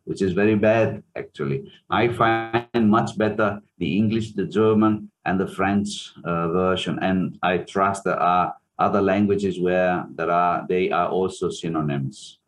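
Italian pianist in interview, deep voice, slow and searching, heavy fillers. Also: male voice. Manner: hesitant, many fillers and restarts. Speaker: pianist and music professor, interview guest. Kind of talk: reflective interview answers.

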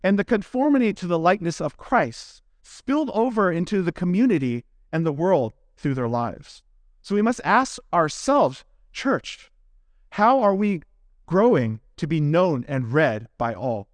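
A man with a notably high voice delivers a sermon. He is earnest and instructive, laying out each phrase deliberately and putting questions to his listeners. The sound is dry, with very little room echo. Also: sing-song. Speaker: preacher. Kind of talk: expository sermon delivered from prepared notes.

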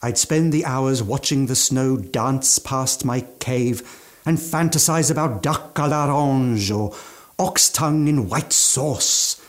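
An actor playing an eccentric, aging gourmand mountaineer with ghoulish relish and fussy, old-fashioned genteel speech in a radio drama. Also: male voice, mid-range voice, slow pace, lightly acted. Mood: wistful relish. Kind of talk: theatrical radio drama monologue.

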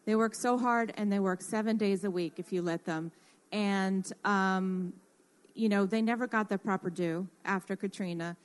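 A woman with a medium-pitched voice speaks as a panelist in an informal radio discussion. She is earnest and explanatory, pausing and picking her way through her points. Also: steady tone. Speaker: radio panelist who works in construction.

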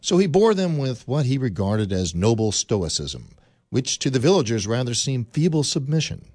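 A man's voice: mid-range voice, very animated, normal speed, darkish tone, dry recording. Guy narrating a radio play, medium voice, bouncy intonation, super dry sound. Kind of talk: scripted radio drama narration.

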